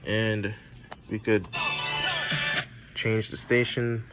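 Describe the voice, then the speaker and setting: Low-voiced man giving a halting tutorial. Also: level tone, loud music behind the voice. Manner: halting, step-by-step explaining. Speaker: presenter of a how-to repair video. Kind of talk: informal hands-on tutorial, unscripted.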